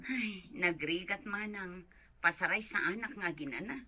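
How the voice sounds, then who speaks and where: A woman's voice: fairly low pitch, a little slow, bright, forceful tone, slightly rough, heavily acted. A woman with an Ilocano accent acting an anxious, hard-pressed mother working overseas, in a comedy drama. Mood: weary.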